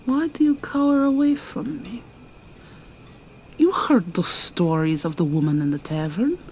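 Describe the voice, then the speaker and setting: A woman voicing a seductive, menacing vampire mermaid villainess in an ASMR roleplay, taunting and purring with sudden high swoops. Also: lively intonation, a little slow, darkish tone, slight background noise.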